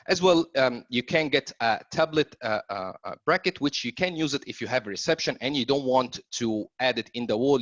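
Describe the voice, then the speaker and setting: A man's voice: mid-range voice, a bit bright, dry recording. A man who speaks as a presents product training in an explanatory way, often stalling on ums and uhs. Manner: hesitant, frequent long ums and uhs mid-sentence. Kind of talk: explanatory slide presentation, loosely scripted. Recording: dull, lo-fi webinar audio.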